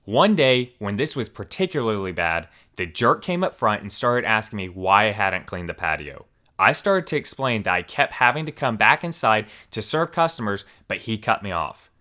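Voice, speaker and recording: Bright-voiced man reading stories aloud. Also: on the low side, very animated, moderate pace. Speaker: story channel host.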